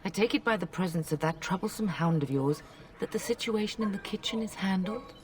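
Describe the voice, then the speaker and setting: A woman's voice: mid-range voice, brisk, a little mellow, recorded from a distance, close to an everyday voice. A woman playing a proud middle-aged noblewoman in a fantasy game, a gracious mother who speaks with refined, dry wit. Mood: poised, wry. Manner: refined, wry, poised.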